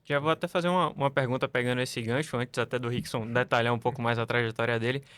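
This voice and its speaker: A man's voice: mid-range voice, steady tone, quick pace, a bit bright, clean tone, no echo. A man hosting a podcast, chatty, recommending people to follow and asking tag questions. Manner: chatty, plugs guests' partners, tag questions. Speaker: podcast host. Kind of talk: casual podcast interview.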